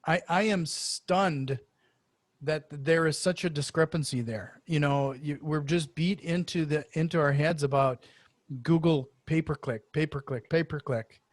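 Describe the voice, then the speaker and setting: Higher-pitched male podcast host, dry sound. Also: dry recording. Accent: Norwegian-influenced. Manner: wordy, halting delivery with repeated words. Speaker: interview show host. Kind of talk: conversational podcast interview.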